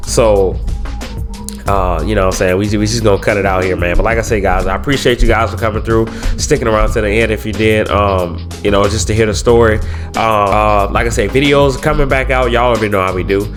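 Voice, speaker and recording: Stuffy-nosed young guy vlogging, lowish voice, slangy and loose, faint music under it. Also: fairly even intonation, brisk. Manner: loose slangy talk, many fillers and repeats. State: congested from a head cold. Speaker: YouTuber. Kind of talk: casual vlog talk to camera.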